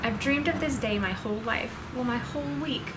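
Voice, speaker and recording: A woman's voice: on the high side, a little fast, heavy background noise, natural voice. A woman voicing a dramatic young girl kitten from a storybook, exclaiming and whining when upset. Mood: whiny, upset.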